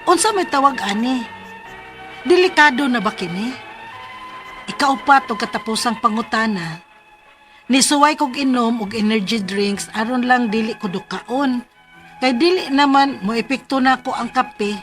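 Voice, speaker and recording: A woman's voice: a little high, lively intonation, moderate pace, fairly bright tone. A woman with a Cebuano accent acting a weary, coffee-addicted working mother who confides her troubles in a radio drama. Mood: troubled, pleading. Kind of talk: Philippine radio drama acting and first-person letter narration. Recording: music bed under the voice.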